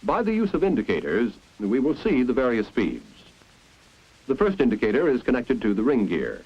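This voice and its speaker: A man's voice: medium pitch, wildly swooping pitch, normal speed, breathy edge. An American man narrating a 1950s instructional film in a didactic, explanatory way. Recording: dull, muffled 1950s film soundtrack.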